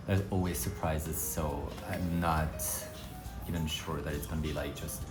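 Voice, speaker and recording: Deep-voiced French man vlogging over music. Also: steady tone, measured pace, poor audio. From France. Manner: chatty, rambling, with many fillers and self-corrections. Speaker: food vlogger. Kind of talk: casual travel vlog narration.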